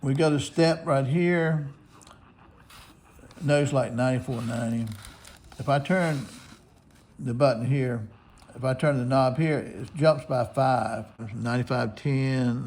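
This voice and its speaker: An American man giving a casual, unscripted tutorial, explaining things step by step. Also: mid-range voice. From United States. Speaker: shortwave radio hobbyist host. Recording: clear radio static and hiss.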